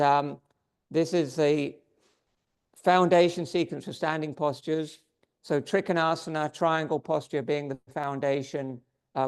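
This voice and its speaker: A man teaching a yoga class, giving calm step-by-step posture and breathing cues while he is losing his voice. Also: on the high side. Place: yoga studio.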